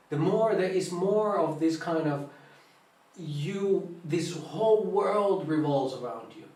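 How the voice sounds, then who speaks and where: A man's voice: high-pitched, a little mellow. Finnish accent guy, monk doing a dharma talk, stops to hunt for words, sounds far off in a big echoey room. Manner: halting, searches for English words. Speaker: Buddhist monk teaching meditation. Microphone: online live stream, distant mic.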